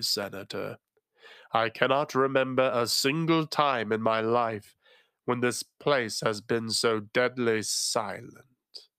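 A resonant, tentacled male illusionist speaks in slow, measured, grave tones, as a male narrator gives him voice. The sound is bright and dry, with no room around it. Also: mid-range voice, smooth, no echo.

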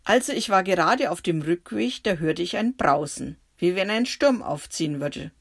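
Gravelly woman telling a bible story. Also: on the low side, animated, moderate pace. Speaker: storyteller narrating in first person. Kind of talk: bible story narration for children.

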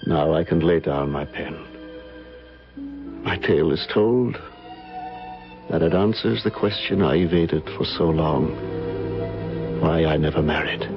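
Gravelly-voiced, devoted suitor, old radio. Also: male voice, rumbling low, measured pace, long pauses, music in the background. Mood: wistful, resigned. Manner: earnest, melodramatic. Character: ardent, devoted American suitor, telling his tragic tale. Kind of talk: old-time radio drama acting. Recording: muffled old radio broadcast.

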